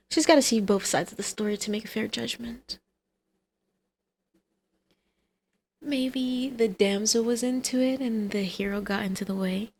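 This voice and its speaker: A woman's voice: medium pitch, darkish tone, natural voice. A woman playing a flirty female villain and doting mother in an ASMR roleplay, with a teasing, coaxing, sardonic manner. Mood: teasing, insistent.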